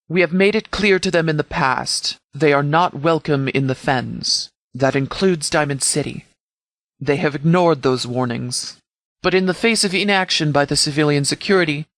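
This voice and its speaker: A man playing a commanding sheriff in a video game, speaking formally and deliberately as he briefs subordinates. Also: fairly high pitch, a bit bright, no echo, close to an everyday voice. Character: commanding, measured sheriff leading an armed department.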